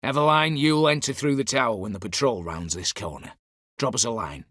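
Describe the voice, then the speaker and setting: An actor playing a shrewd, vengeful heist mastermind in a video game, speaking in a clipped, commanding way with archaic turns of phrase. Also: male voice, mid-range voice, brisk, fairly bright tone, dry recording, studio quality, close to an everyday voice. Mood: brisk, commanding. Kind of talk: dramatic video game voice acting.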